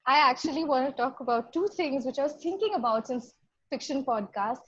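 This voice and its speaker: A woman's voice: high voice, animated, a little fast, fairly bright tone, breathy edge. A woman podcast guest chatting casually. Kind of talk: casual chat. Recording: dry, slightly dull audio.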